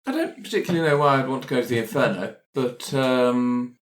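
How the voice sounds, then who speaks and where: A man's voice: mid-range voice, a little fast, lightly acted. A man improvising a fussy, anxious, polite otherworldly character in a roleplay, hesitant and rambling, often interrupting himself. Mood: flustered. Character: fussy, anxious, polite otherworldly being who loves his cat. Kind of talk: improvised roleplay for an actual-play podcast.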